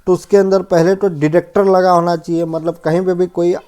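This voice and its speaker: A man's voice: high-pitched, level tone, a little fast, dark tone, clean tone. A man giving an informal technical lesson as an instructor, explaining checklist points and often rephrasing and defining terms. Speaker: fire safety instructor.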